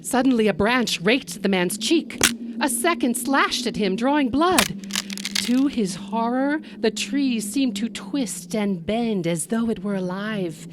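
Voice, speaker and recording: A woman telling a story live, narrating in an expressive, measured way with dramatic delivery. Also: on the high side, background noise.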